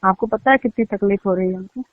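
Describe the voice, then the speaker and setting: A woman's voice: medium pitch, fast talker, dry recording. A woman on an emotional personal phone call, speaking in an accusing, hurt and insistent way. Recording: muffled narrowband phone-line call. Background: phone call recording.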